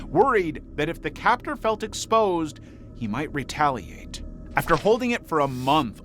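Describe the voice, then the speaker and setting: Man, documentary narrator, dramatic storytelling, strongly varied intonation, music playing behind. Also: a little high. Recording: voice over prominent background music.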